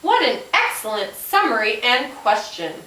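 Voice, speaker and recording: A woman plays a helpful police officer puppet teaching a class. The voice is fairly high and very animated, explaining each point deliberately. It sounds roomy, as if recorded some way from the mic. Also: slight background noise. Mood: instructive. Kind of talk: scripted educational puppet show. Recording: roomy, distant microphone.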